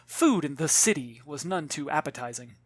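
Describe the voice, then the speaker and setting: A man reading his own novel as an expressive audiobook, with dramatic, measured storytelling. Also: on the high side, breathy edge, no echo. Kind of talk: expressive scripted audiobook narration.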